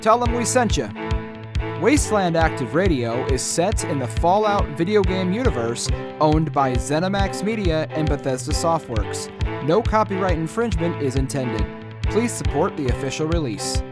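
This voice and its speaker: A man's voice: on the high side, big pitch swings, normal speed, over loud music. A man reading scripted show credits as an announcer.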